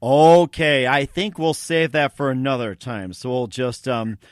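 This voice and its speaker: A man's voice: medium pitch, steady tone, unhurried, clear, resonant tone, no echo, clean studio sound. A man hosting a radio talk show who leans on fillers like "um", "you know" and "as well, too". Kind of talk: radio interview and read ad.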